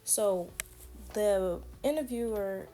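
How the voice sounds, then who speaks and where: An American woman vlogger chatting casually in a playful, sassy, slangy way, her voice slightly hoarse. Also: mid-range voice, slow pace, warm tone, faint music behind. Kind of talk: casual chatty day-in-the-life vlog.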